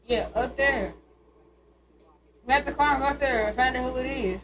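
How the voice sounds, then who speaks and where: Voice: high voice, moderate pace, a bit bright. A young adult, chatting casually and calling out directions during a video game. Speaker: companion watching the gameplay. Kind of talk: casual chat, backseat directions. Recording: noisy room, ambient sound behind.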